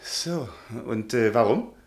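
A man's voice: medium pitch, animated, slow pace. An actor in a radio play playing a shy, pedantic middle-aged male history professor who lectures precisely and bristles easily. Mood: flustered, polite. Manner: precise, lecturing, hesitant when flustered. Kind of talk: German radio drama acting.

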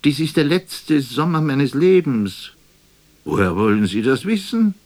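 An actor playing a wistful, musing poet in a radio play, with a theatrical and deliberate delivery. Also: male voice, mid-range voice, very animated, darkish tone. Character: wistful male poet imagining a last telephone conversation.

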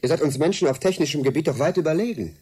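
An actor in a German radio drama playing a skeptical, excitable journalist with a doubting, wry manner. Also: male voice, fairly high pitch, very fast, slightly rough.

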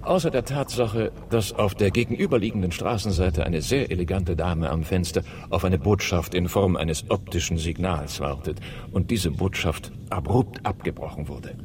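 Actor as a very deep-voiced, composed detective. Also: male voice, moderate pace, natural voice. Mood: composed, decisive. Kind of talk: radio drama acting. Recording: distant from mic, faint rumbling noise.

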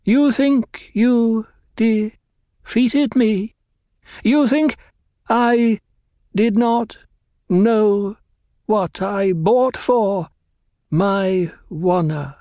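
A man voicing a bitter, defiant old doctor in a high, strained, measured cadence. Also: warm tone, dry recording, clean studio sound.

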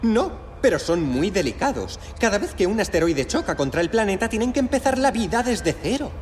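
Fussy, nervous skinny alien, wildly animated. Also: male voice, high voice, fairly quick, a little hiss or hum. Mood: indignant. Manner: flustered, pleading, exclamatory. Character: fussy, nervous long thin one-eyed male alien Earth expert. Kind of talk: children's audio story acting. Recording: music behind.

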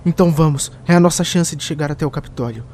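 A woman's voice: low-pitched, fast, background noise, natural voice. A woman playing a hopeful, determined traveller in a sci-fi audio drama, eager and insistent.

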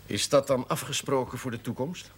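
A man's voice: medium pitch, moderate pace, a little hiss or hum, natural voice. A man playing a hearty chuckling parish priest. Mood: warm. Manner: hearty, chuckling, self-mocking. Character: jovial middle-aged male parish priest. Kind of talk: 1970s television drama acting.

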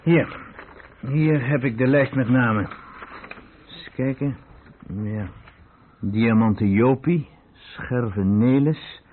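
A narrow, tinny old radio recording. A man performs a weary police inspector with a medium-pitched voice, frustrated, musing deliberately as he thinks aloud. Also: a little hiss or hum, lightly acted. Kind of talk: Dutch radio play acting.

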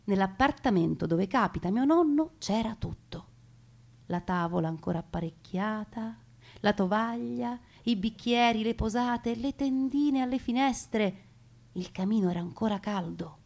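Woman doing stage storytelling, expressive, pausing on words for emphasis. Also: fairly high pitch, vocal fry. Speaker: storyteller performing a narrative stage piece. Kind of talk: theatrical first-person narration of family war memories.

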